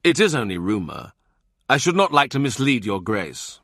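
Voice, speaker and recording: A man's voice: medium pitch, very animated, normal speed, fairly bright tone, no room sound, studio quality. A man voicing a shrewd, deferential male clerk who talks politely and slyly.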